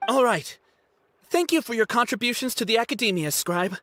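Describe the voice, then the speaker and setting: A woman's voice: a little high, expressive, a little fast, a bit bright, dry recording. A woman voicing a proud, touchy young male student who stammers when flustered. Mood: apologetic.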